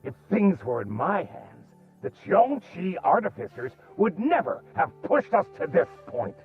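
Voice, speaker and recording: A man's voice: high voice, huge pitch swings, unhurried, phone-quality sound, faint music behind, full character voice. A man acting a hot-headed, scornful sect elder in a video game, with biting, accusatory delivery. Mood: indignant.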